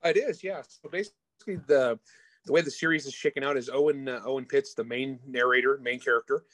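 A man's voice: mid-range voice, a little fast, fairly bright tone, no room sound. Casual man chatting in a dry, close sound. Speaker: author being interviewed. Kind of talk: casual interview chat about their own books.

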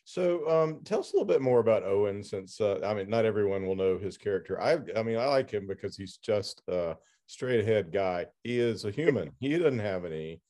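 A man hosting a podcast interview, chatting casually in an informal, somewhat halting way. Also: on the low side, animated, warm tone.

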